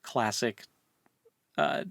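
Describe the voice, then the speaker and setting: A man's voice: very slow, fairly bright tone, dry recording, clean studio sound. A man co-hosting a podcast, talking through his views in a casual, meandering book discussion. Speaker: co-host of a book read-along podcast.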